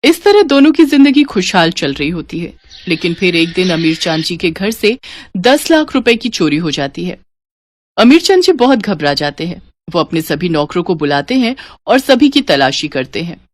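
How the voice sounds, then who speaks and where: A woman's voice: on the low side, very animated, normal speed, no echo. Woman narrating a story, dry sound.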